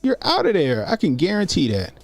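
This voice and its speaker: A man's voice: on the high side, huge pitch swings, brisk, no room sound, faint music behind. A man in his forties doing casual political commentary on a stream, slangy and loose, repeating himself as he talks. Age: 40s.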